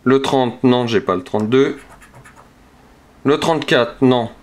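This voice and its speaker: A man calmly talks through each number as he scratches lottery tickets, casual and methodical. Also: medium pitch, a little slow. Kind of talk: casual narration while scratching cards. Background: scratching sounds.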